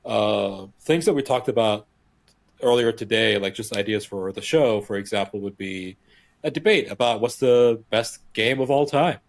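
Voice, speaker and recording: Low-voiced man co-hosting a casual gaming podcast. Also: moderate pace, no room sound. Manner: rambling, filler-heavy, restarts often. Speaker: podcast co-host. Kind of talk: casual chat.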